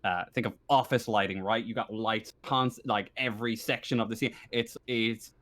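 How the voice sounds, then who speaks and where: Man, game master, slightly low pitch, halting conversational narration, self-correcting. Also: fairly even intonation, fairly bright tone. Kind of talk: improvised narration of a tabletop roleplaying game.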